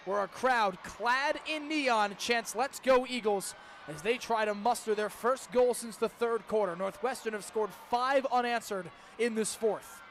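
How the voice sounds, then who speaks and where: A young American man calling live lacrosse play-by-play for radio, with emphatic, dramatic calls that swell into shouting. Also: extremely high pitch, unhurried, a little hiss or hum. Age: young adult. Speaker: student radio play-by-play broadcaster. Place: stadium, calling games in person.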